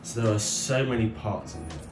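A man's voice: lowish voice, lively intonation, a little fast. A man giving a casual, unscripted show-and-tell as a vlog host, thinking aloud in an informal way. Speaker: YouTube host showing a hobby build. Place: indoor room beside the build. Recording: echoey room, distant mic, music behind.

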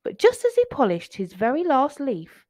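A woman's voice: high-pitched, huge pitch swings, moderate pace, darkish tone, no room sound. A woman reading a children's picture book aloud in a storytelling style.

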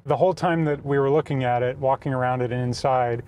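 A man in his forties talks through an old camper in a calm, thoughtful way, pausing often to weigh what he sees. His voice sits at a plain middle pitch, and he sounds close to a clip-on mic. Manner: thoughtful, measured, frequent pauses and fillers. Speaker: vlogger touring a camper. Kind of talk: casual walkthrough narration. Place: small camper trailer and outdoors, plus a dim room for to-camera parts.

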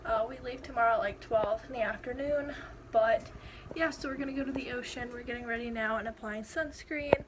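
A woman's voice: a little high, fairly quick, noisy background. A young woman in her twenties chatting casually to her phone camera in an upbeat vlog, with lots of fillers. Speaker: vlogger. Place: hotel room and outdoors at a beach town. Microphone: phone camera at arm's length.

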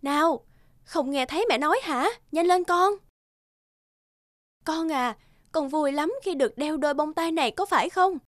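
Cartoon mum played by a female actor, caring yet gently firm, soft music behind. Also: sits high, normal speed, pauses often, no room sound, studio quality, natural voice. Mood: urging. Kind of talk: children's cartoon dialogue.

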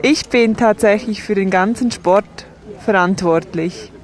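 Woman, voice-over interpreter for a sports report; slow, steady, mid-pitched delivery. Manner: measured, steady, voicing several signers. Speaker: voice-over sign language interpreter.

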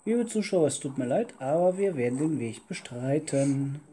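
A woman's voice: deep voice, animated, moderate pace, warm tone, a little hiss or hum. A woman hosting a gaming video, talking casually with a co-op partner while playing. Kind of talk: casual co-op gameplay chat. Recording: guitar music behind the voice. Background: plucked guitar music.

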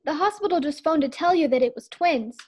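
A woman's voice: high voice, lively intonation, fairly quick, darkish tone. A woman playing a polite, plain-spoken household maid in an old-time radio sitcom style. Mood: polite, frank.

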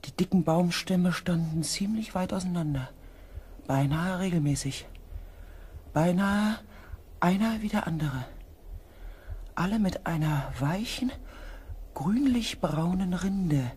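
An actor playing a grave expedition member who narrates in the first person, measured and sometimes hushed, in a sci-fi radio play. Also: male voice, high-pitched, lively intonation, husky, heavily acted. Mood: grave, eerie. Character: grave male expedition member recounting an eerie mission.

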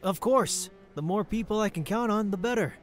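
A man's voice: sits high, moderate pace, soft background music, natural voice. A man playing an affable, ambitious district attorney in an audio drama, polished and courteous. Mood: courteous, eager.

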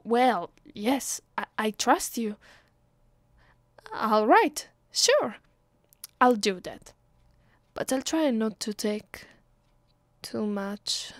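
A woman with an Italian accent playing an affectionate, playful vampire girlfriend in an ASMR roleplay, speaking softly, intimately and teasingly. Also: fairly high pitch, lively intonation, drawn-out words, pauses often, no echo, exaggerated voice. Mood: tender, loving. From Italy. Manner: soft, tender, teasing, intimate. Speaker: voice actress.

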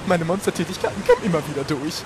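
Man voicing a high-pitched, jolly lake monster. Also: expressive, fast talker, lightly acted. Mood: friendly, apologetic. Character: huge lake monster, friendly, polite, chatty and jolly. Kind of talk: hobby parody audio drama acting. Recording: noisy homemade recording.

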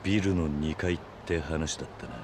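An actor playing a stoic, brooding middle-aged ex-yakuza in a video game, speaking tersely and gravely. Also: male voice, rumbling low, brisk, noisy background. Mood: stern. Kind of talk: video game cutscene acting.

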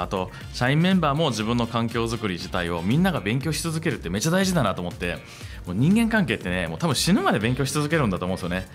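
A middle-aged male radio host talks quickly in a fairly low voice. He is conversational and reflective, close to a table mic, with music playing softly behind him. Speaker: radio show host and company founder. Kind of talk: conversational radio monologue and interviewing. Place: small room, seated at a table. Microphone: table microphone on a stand. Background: soft music.

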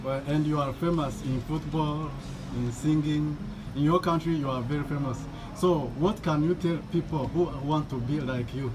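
A man's voice: on the high side, poor audio. Off-camera man asking friendly questions. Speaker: interviewer. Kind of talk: casual interview.

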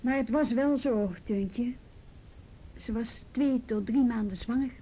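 A woman's voice: slow, highs cut off. A woman playing a firm, matter-of-fact youth police officer who asks probing questions in a Dutch radio drama. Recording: noisy old radio drama recording, rumble.

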